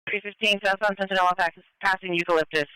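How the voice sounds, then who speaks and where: A male police dispatcher with a high, crisp voice relays pursuit updates over the radio. He is clipped and procedural, repeating reports at a quick pace, and the sound is dry. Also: steady tone, bright, forceful tone, no room sound, poor audio. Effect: police radio transmission.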